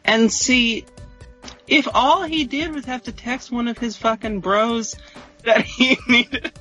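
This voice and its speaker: A man's voice: very high voice, slow pace, pushed and bright, dull audio, faint music behind. A man hosting a podcast with casual comic banter, speaking in an emphatic, profane way. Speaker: podcast host.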